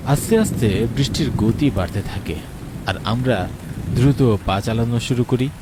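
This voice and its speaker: A man's voice: mid-range voice, normal speed, heavy background noise, lightly acted. A man playing a reflective narrator and wary friend, telling an atmospheric scripted ghost story. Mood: reflective, ominous. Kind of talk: atmospheric scripted horror storytelling with dramatised dialogue. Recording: rain and thunder behind the voice. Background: rain, thunder.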